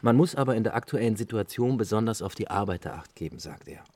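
An actor playing a playful, idealistic bohemian man in a radio play, with a husky voice and teasing, clipped phrases. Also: lowish voice, expressive, fast, noisy background. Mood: earnest, sly. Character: Henry, bohemian male squatter friend, playful and idealistic. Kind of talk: German radio play dialogue.

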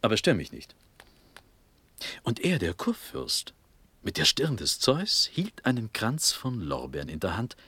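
An actor playing a dazed, dreamy young Prussian prince in a verse radio drama, fervent and halting, with breathy speech drifting into whispers. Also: male voice, on the low side, wildly swooping pitch, bright, forceful tone, no room sound, full character voice. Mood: rapturous. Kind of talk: classical German stage verse radio drama.

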